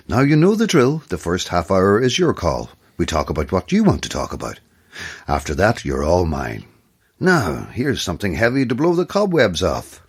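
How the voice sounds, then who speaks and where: A man's voice: low-pitched, breathy edge, exaggerated voice. An actor playing an edgy late-night radio host with wry showman patter in an audio drama. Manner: wry showman patter, short punchy phrases.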